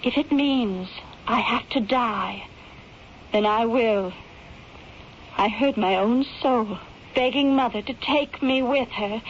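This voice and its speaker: Radio drama daughter: young, grief-stricken, drawn-out delivery, melodramatic; dull vintage sound with faint noise. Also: female voice, high voice. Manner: slow, plaintive, melodramatic. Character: grieving, haunted, earnest young daughter. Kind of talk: old-time radio drama acting.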